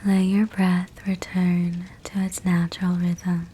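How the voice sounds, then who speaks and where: A woman guiding a sleep meditation in a soft, breathy whisper, gentle and soothing, with measured phrasing and long pauses. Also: on the low side. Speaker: sleep hypnosis meditation guide. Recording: steady fireplace crackle behind voice. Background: fireplace sounds.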